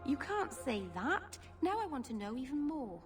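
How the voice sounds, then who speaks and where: A woman voicing an earnest, stubborn young elf mage in a fantasy video game, with expressive delivery. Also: high voice, normal speed. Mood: curious. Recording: long reverb, faint music behind.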